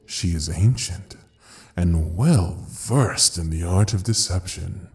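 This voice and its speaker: An actor playing an ancient devil who rules hell, taunting and theatrical in an audio roleplay with a drawn-out delivery. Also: male voice, sits low, creaky, a little mellow, exaggerated voice. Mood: amused, taunting. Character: ancient, mocking male devil ruling hell. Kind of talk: dramatic audio roleplay acting.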